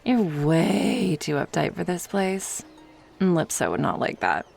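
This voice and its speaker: Woman acting flirty island hedonist, creaky voice, casual slangy teasing, unhurried audio drama delivery, music underneath. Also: on the low side, sing-song, a little mellow, heavily acted. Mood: breezy, flirtatious.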